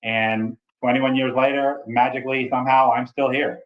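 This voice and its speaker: A man in his forties with a big voice, telling his story with energetic enthusiasm in a casual interview. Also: mid-range voice, normal speed, muffled recording. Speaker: travel nurse recruiter.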